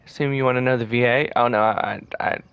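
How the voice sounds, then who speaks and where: A man's voice: mid-range voice, fairly even intonation, fast, smooth, dry recording, narrow, tinny audio. A man giving casual gameplay commentary as a streamer, chatty, colloquial and self-deprecating. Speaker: game streamer.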